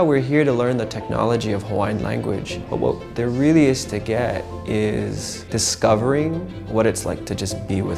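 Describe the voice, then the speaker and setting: A man's voice: fairly low pitch, vocal fry. A man speaking as a language teacher and program founder in a documentary interview, reflective and earnest.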